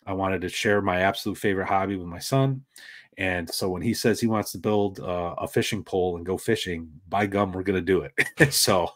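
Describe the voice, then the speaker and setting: A man's voice: sits low, moderate pace, fairly bright tone. A middle-aged man on a video call panel, talking casually about gaming with his son and rambling with fillers. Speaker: panel guest.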